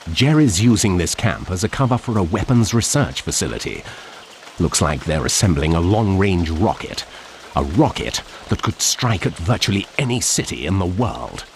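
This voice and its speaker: An actor playing a haughty, brusque British colonel in a video game, with a British accent and an authoritative manner. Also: male voice, low-pitched, big pitch swings, normal speed, a little hiss or hum. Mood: grave. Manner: authoritative, indignant at outsiders. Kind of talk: video game cutscene acting.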